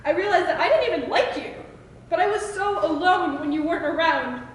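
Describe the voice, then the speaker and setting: A teenage woman reciting slam poetry with dramatic, deliberate phrasing in an echoing hall. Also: very high-pitched, expressive. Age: teens. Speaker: student poet. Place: school open mic performance in a reverberant room. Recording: echoey hall with faint noise.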